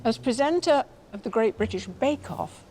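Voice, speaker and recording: A woman presenting a portrait show who welcomes the sitter warmly. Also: a little high, animated, normal speed, soft background music.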